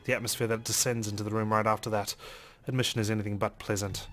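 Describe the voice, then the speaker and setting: Let's play host: man, lowish voice, very quick dramatic reading, faint music behind. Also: flat delivery, a bit bright. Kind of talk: reading game narration aloud dramatically.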